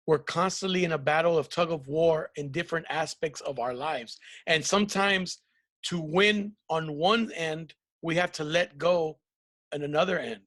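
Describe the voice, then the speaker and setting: A man in his thirties hosting a podcast over a video call, asking questions in a relaxed, joking way. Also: on the high side. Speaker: podcast host. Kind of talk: casual podcast chat. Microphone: video call webcam.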